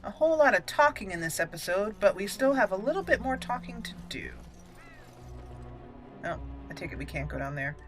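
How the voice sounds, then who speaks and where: A woman's voice: medium pitch, very animated, brisk. A woman hosting a let's play speaks casually and playfully into a close mic. She mutters to herself, amused, and at times reads out in character, with faint game music behind her. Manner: playful, amused, talks to self. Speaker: gaming channel host.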